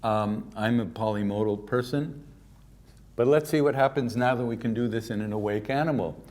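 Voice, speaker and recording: An older man from Canada giving a keynote science lecture, lively and informal, with offhand asides. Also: on the low side, normal speed. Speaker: keynote speaker.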